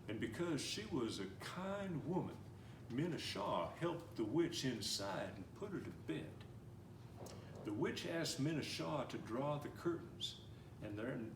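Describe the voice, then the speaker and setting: Male storyteller, lively read-aloud delivery, recorded from a little way off in an echoey room with faint hiss. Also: medium pitch. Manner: gentle, animated storybook reading with slowing and quickening pace. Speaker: storyteller reading a picture book. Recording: roomy, somewhat distant mic with faint noise.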